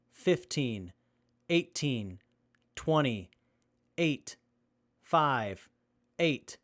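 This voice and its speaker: A man acting as an earnest, capable young survivor with a measured, methodical manner in a post-apocalyptic audio drama. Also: medium pitch, fairly bright tone.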